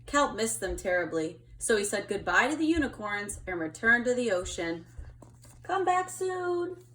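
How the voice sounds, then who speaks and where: A woman reading a picture book aloud to children in an expressive storytelling style. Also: medium pitch, normal speed. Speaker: storybook reader.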